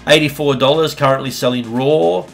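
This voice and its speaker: A man in his thirties, his voice slightly husky after a short illness, talks at an ordinary pitch in an upbeat, chatty style. He speaks into a desk mic in a room at home, and faint music plays underneath. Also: normal speed. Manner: upbeat, hype-building, chatty. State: slightly husky from a recent bug. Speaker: card-opening YouTuber host. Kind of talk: casual pack-opening vlog. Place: home room with colored lights. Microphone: studio mic on a desk arm.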